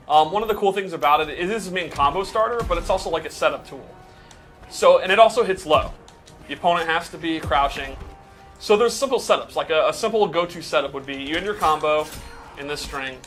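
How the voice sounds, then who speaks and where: A man with a bright, forward voice on the higher side gives casual gameplay commentary. He explains things step by step in a loose, conversational way, with music clearly behind him. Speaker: game commentator breaking down a character.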